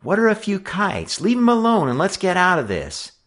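A man's voice: sits high, wildly swooping pitch, moderate pace, fairly bright tone, voice pushed up, exaggerated voice. A man playing a cautious boy in a storybook, urgently pleading to back away from trouble. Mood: worried, urgent. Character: anxious cautious boys, friends urging retreat.

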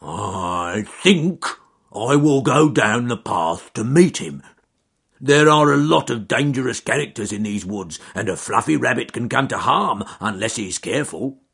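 A big, protective storybook bear with a gruff, growly voice, as a man puts him on. He booms loudly, his tone gravelly and husky, and his pitch swings widely as he talks. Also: expressive, measured pace, exaggerated voice. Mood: worried. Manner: loud, booming delivery.

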